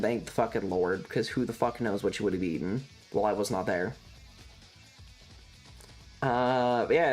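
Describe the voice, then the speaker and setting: A streamer telling a casual, rambling story, swearing freely and repeating words for emphasis. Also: medium pitch.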